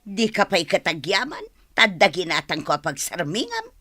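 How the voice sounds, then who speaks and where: A woman playing a lively, thrifty married village woman in an Ilocano radio comedy, expressive and full of exclamations, with an Ilocano accent. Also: fairly low pitch, moderate pace, no echo, clean studio sound, exaggerated voice. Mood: chatty. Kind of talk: Filipino radio comedy drama acting.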